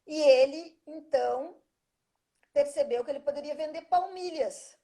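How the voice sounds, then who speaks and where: Woman, chatty storyteller, keeps seeking agreement, warm dark tone. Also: sits high, measured pace. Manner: chatty storytelling, frequent "né?" tag questions. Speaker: guest, teacher. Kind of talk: casual interview conversation.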